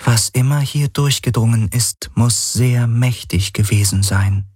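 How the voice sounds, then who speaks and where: Calm knowing artificial presence, as a man puts it on. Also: mid-range voice, unhurried, slightly rough, no echo, studio quality, pitched above the performer's own voice. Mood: explaining.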